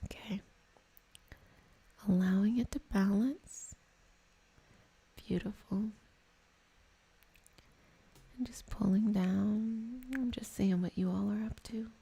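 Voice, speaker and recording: A woman hosting an ASMR reiki healing session, talking in a soft, soothing, meandering and conversational way. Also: medium pitch, fairly even intonation, takes it slowly, vocal fry, long pauses, husky. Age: adult over 25. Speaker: reiki practitioner and session host. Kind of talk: ASMR guided healing session with casual chat.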